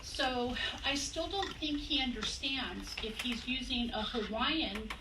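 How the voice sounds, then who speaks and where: Woman, high voice, firm and critical, noisy background. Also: takes it slowly. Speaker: forum participant. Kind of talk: discussion comment. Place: community center meeting room. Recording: echoey room, far mic, noisy.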